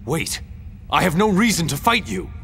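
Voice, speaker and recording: An actor playing a brooding young warrior bent on revenge, speaking formally in a dramatic video game style. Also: male voice, on the high side, lively intonation, normal speed, gravelly, slight background noise. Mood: alarmed. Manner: formal, archaic phrasing. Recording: loud music behind the voice. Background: loud music.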